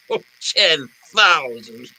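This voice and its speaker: Genial fat mastermind, theatrical radio acting, unhurried delivery, animated intonation, wordy, sly. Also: male voice, fairly high pitch, slight background noise, exaggerated voice, low-quality recording. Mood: amused, probing. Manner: florid, theatrical, deliberate phrasing. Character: jovial, wordy, calculating fat crime boss. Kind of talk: old-time radio drama acting.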